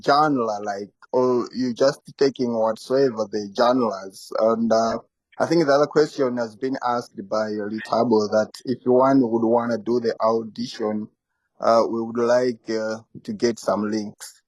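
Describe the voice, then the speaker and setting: A man with a Malawian accent and a medium-pitched voice joins a live talk to ask questions. He is polite and casual, and builds his sentences in short, halting pieces. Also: steady tone, no echo, poor audio. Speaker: audience member asking questions.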